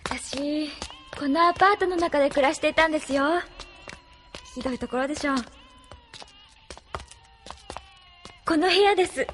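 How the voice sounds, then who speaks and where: A woman's voice: high-pitched, brisk, a bit bright, soft background music. An actress playing a polite, earnest young woman in an anime audio drama. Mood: nostalgic. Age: young adult.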